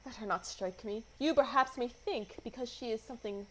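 A woman's voice: fairly high pitch, a little fast, a bit bright, a little hiss or hum, natural voice, low-quality recording. A woman acting a timid, wronged young woman, plaintive and earnest, in a Shakespeare radio play. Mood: pleading.

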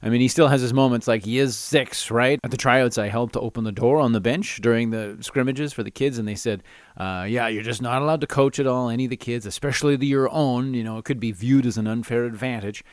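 A middle-aged man hosts a podcast on his own. He has a mid-pitched voice and an animated, chatty delivery, as if amused by his own anecdotes and rants. The sound is close and dry, with very little room. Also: a little fast, no room sound. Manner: animated, self-amused, chatty, laughs through stories. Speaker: podcast host. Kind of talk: casual solo storytelling and ranting.

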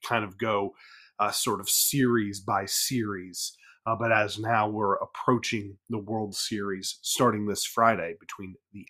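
A man's voice: on the low side, a bit bright. A man hosting a baseball podcast, recovering from a cold, recapping games in a loose, rambling way full of fillers and restarts. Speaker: podcast host. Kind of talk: casual baseball recap talk.

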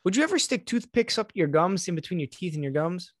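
A man's voice: high voice, lively intonation, fast. A man in his late twenties chatting casually, insistent and argumentative, with crude language. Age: late 20s. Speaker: talk show co-host. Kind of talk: casual bantering chat.

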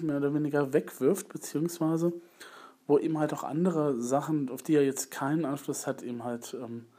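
A man's voice: mid-range voice, mellow. A man with a slight cold giving a rambling, hesitant spoken review in a casual monologue. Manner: rambling, hesitant, repeats filler phrases. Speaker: video blogger.

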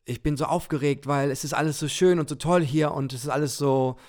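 A man voicing a small, excited, childlike soul in a podcast story, eager and repeating itself. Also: medium pitch, normal speed, close to an everyday voice.

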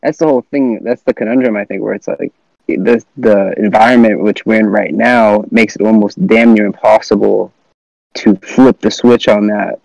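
A man's voice: fairly low pitch, steady tone, normal speed, gravelly, a little mellow, dry recording. A man in his twenties chatting casually about politics in an opinionated, slangy way, recorded on dull lo-fi audio. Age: 20s. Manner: opinionated, informal, slangy with profanity.

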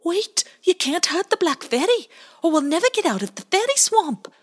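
Woman doing Bowie, a timid high little sidekick. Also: sing-song, moderate pace. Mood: alarmed. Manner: small, anxious and apologetic. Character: timid little male sidekick, tearful and eager.

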